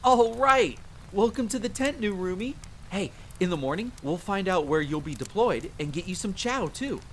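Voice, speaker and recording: A man's voice: high-pitched, animated, normal speed, slight background noise. A man voicing an eager, friendly, boyish vampire hunter in an ASMR roleplay, chatty and easily flustered. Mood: excited. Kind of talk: dramatic ASMR roleplay audio drama. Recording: faint rain ambience behind. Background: rain ambience.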